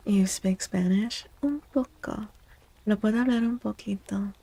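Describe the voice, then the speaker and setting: A woman with a soft, dark-toned voice and a gravelly fry talks in a gentle ASMR style. She takes her time, chatting casually and encouragingly with viewers as a streamer. The sound is close and very dry. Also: medium pitch, moderate pace, no room sound. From United States, born to Mexican parents. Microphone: close, dry microphone.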